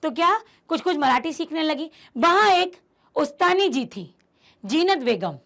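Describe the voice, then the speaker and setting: A woman's voice: high-pitched, big pitch swings, measured pace, a bit bright. A woman teacher explaining a textbook chapter to her students, emphatic and repeating key phrases as she speaks to them directly. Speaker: Hindi teacher.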